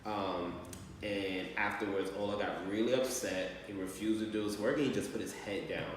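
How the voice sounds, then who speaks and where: A man speaking as a school staff member on a call to a parent, explaining things in a measured way. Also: on the low side, steady tone. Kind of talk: training role-play of a parent phone call. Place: large echoey room, far from mic. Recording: very echoey hall, distant mic, faint noise.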